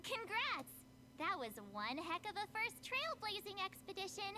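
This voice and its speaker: A woman voicing a perky, playful, excitable teenage girl adventurer in an anime-style video game, with bubbly, chatty delivery. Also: very high-pitched, measured pace, slight background noise, full character voice. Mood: cheerful.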